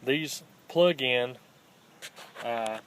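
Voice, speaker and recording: A man giving a casual, unscripted hands-on how-to in a plainspoken, halting way, recorded on a handheld phone. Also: mid-range voice. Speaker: host of a scrapping how-to channel. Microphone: handheld phone, filming one-handed. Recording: home phone video with faint background noise.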